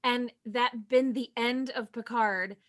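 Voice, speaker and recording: A high-pitched female voice in a casual, joking group chat. She is an expressive panelist who likes to act out what people might say. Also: slow. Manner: expressive, acts out imagined quotes. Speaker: podcast panelist.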